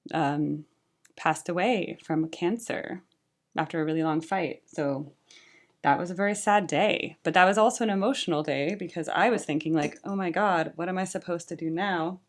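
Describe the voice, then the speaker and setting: A young woman with a low-pitched voice talks casually straight to her audience. She's earnest and chatty, and her speech is filled with "like" and "right?". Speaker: YouTube music reactor and tribute band singer. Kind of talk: casual talking-head chat about music.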